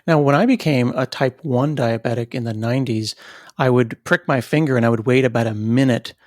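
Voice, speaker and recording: A middle-aged man hosting a podcast interview, talking in a relaxed, thoughtful way with occasional restarts. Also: medium pitch, a little fast, no echo, clean studio sound. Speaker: podcast host. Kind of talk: conversational interview.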